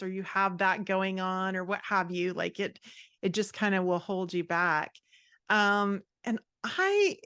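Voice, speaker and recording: A woman's voice: medium pitch, a bit bright, no room sound, clean studio sound. A woman speaking as a guest writing coach, explaining her ideas in a conversational, encouraging and reflective way. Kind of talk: conversational advice in a podcast interview.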